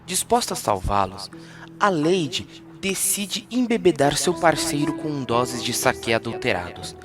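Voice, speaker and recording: A man's voice: a little high, very animated, measured pace. Brazilian man narrating fantasy myth over music. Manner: solemn storytelling delivery.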